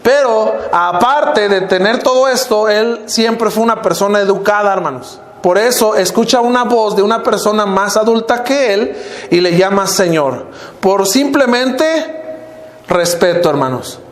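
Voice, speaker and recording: A man's voice: lively intonation, unhurried, roomy sound. An older man with a Mexican accent preaching a sermon as minister, often calling out to the brothers and letting his voice fall at the end of phrases. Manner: preaching, often addressing the congregation as brothers, pitch dropping at phrase ends. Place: church hall.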